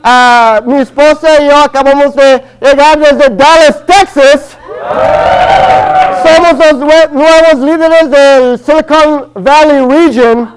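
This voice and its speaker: A man's voice: very high-pitched, steady tone, takes it slowly, crowd noise, background noise. A man in his early thirties from Los Angeles with a Chicano accent, preaching a sermon in a hesitant way, with many fillers and restarts. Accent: Chicano Spanish. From Los Angeles, family from Guatemala. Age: early 30s. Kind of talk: Spanish-language sermon.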